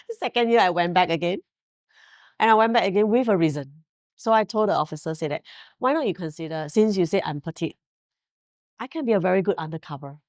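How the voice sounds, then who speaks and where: Singaporean woman, forties, Mandarin native; low voice, dry close sound; storytelling interview guest, laughs often. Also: animated, fairly quick, pauses often, dry recording. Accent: Singaporean English. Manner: warm storytelling with frequent laughs and fillers. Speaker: guest, hotel director. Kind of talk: casual interview.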